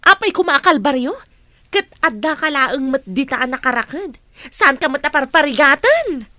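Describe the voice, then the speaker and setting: Haughty Ilocano wife, played by a woman, radio drama: high, animated, scolding, recorded dry and close. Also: moderate pace, no echo, full character voice. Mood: scornful. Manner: sharp, scolding, demanding. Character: haughty, bossy, quarrelsome wife of the house.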